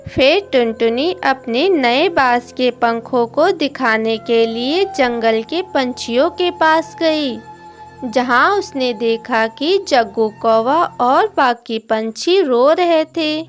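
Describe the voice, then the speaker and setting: A woman's voice: high voice, expressive, slow pace. A woman narrating a scripted children's story as its storyteller. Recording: music behind the voice.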